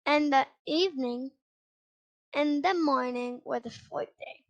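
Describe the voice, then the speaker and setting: A female reader with a high voice reading a children's Bible story aloud, halting now and then over words. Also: dull audio.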